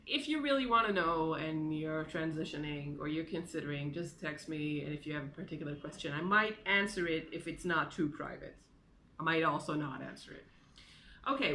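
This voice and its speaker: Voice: mid-range voice, pushed and bright, slight background noise. A native Hebrew speaker aged 32 talking casually and candidly in a personal vlog, with self-deprecating, jokey asides. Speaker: video blogger.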